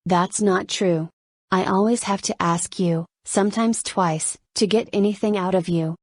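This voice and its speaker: A woman's voice: mid-range voice, fairly even intonation, a little slow, no room sound, natural voice. A woman acting in an audio play as a skeptical, exasperated girlfriend who talks in a plain, challenging way. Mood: annoyed, skeptical.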